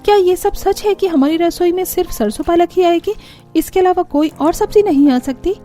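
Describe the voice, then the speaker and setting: A woman's voice: extremely high pitch, moderate pace, dark tone, soft background music, pitched above the performer's own voice. A woman voicing a naive young newlywed daughter-in-law who exclaims and questions in a cartoon story. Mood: shocked, questioning.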